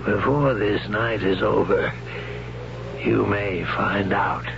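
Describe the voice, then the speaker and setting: Grave mentor figure, slow and low, lo-fi radio hiss. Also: male voice, fairly even intonation, gravelly. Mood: stern. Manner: stern, measured. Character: grave mentor called Death, stern teacher. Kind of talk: 1970s radio drama acting. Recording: lo-fi old radio broadcast with noise.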